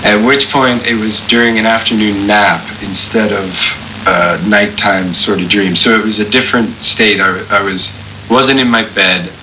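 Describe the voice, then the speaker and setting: Low-voiced man, deliberate and halting. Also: steady tone, bright, forceful tone, low-quality recording. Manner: deliberate, halting, over-precise. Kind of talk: personal anecdote. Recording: clear background noise.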